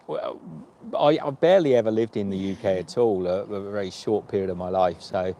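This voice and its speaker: A man's voice: fairly low pitch, brisk, warm tone, a little hiss or hum. A man from Australia chatting casually on a travel livestream, rambling and correcting himself. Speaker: vlog host.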